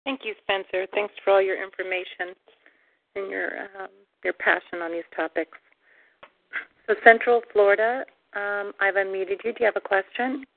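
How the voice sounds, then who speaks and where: A woman hosting a call-in show, taking callers and relaying questions briskly. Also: mid-range voice, steady tone, creaky, no echo. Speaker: host. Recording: tinny phone line.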